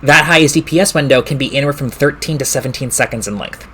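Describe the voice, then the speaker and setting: A man streams a game with an informal, casual delivery and some mild swearing. His mid-pitched voice sits over clear background noise and game ambience. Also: quick pace, bright, forceful tone. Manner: informal, mildly profane. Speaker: live streamer. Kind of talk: casual gaming stream chat. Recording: clearly noisy stream audio.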